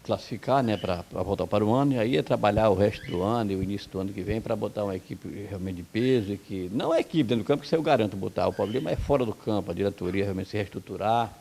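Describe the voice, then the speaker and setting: A man's voice: on the low side, vocal fry, mellow, slight background noise. A man from Brazil, a football coach, giving blunt, heated opinions in an interview. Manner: blunt, heated, rapid-fire complaints.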